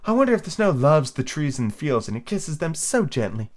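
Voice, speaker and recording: A man's voice: fairly high pitch, brisk. A man voicing a prim, chatty little girl who scolds and lectures her kitten, in a raised sing-song voice. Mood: dreamy. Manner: fussy, lecturing, lively and whimsical. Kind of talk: amateur reading of a children's book.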